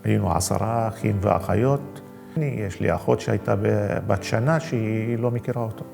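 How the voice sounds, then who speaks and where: Very fast, gravelly man in reverberant space. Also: lowish voice. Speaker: interviewee, bereaved son. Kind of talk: personal documentary testimony. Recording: long reverb, music underneath. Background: music.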